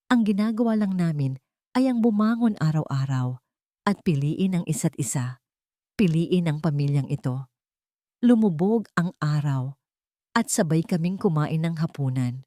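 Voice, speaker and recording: A woman narrating a scripted romantic story in a measured, sentimental storytelling manner. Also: sits low, expressive, warm tone, no echo, clean studio sound. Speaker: narrator of romance audio stories.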